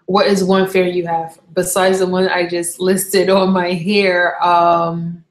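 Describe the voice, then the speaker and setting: A woman's voice: fairly low pitch, level tone, slow pace. A young woman from Barbados chatting casually on a live stream, candid and rambling, often correcting herself mid-sentence. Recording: echoey room.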